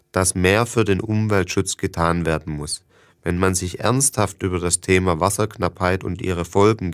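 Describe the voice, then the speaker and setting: Low-voiced guy reading a learner text, smooth clear voice, steady pace. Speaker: reader of listening texts.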